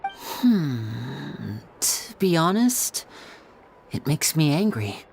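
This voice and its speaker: Smooth young guy magician, video game style, lowish for a woman, very expressive. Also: drawn-out words, slight background noise, heavily acted. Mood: troubled, resolute. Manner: smooth, theatrical, polite. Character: suave, self-possessed young male stage magician.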